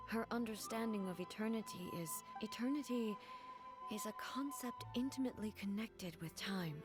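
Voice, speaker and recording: An actress playing a solemn, earnest female deity who rules, speaking formally and with measured dignity in a video game. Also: medium pitch, natural voice. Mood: reflective. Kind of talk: English video game voice acting. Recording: clear background music. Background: game music.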